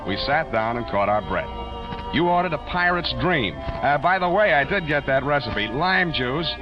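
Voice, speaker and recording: An actor playing a wry, hardboiled private detective with sardonic, deadpan delivery in a 1940s radio drama. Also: male voice, fairly high pitch, moderate pace, natural voice. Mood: wry, teasing. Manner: sardonic, deadpan, dry asides. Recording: dull vintage radio recording, music behind.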